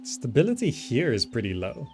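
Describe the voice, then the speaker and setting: A man's voice: mid-range voice, wildly swooping pitch, normal speed, darkish tone, slight background noise. A man giving casual gameplay commentary, thinking aloud and often trailing off as he muses to himself. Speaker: gaming video host. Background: background game music.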